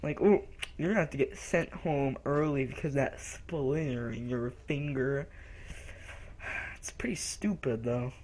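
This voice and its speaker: Young man, slow rambling talk, loud acted-out quotes, faint noise behind. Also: medium pitch, rough recording. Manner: rambling, slow, acts out cartoon lines loudly. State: recently sick. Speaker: co-host reviewer. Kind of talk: casual review chat.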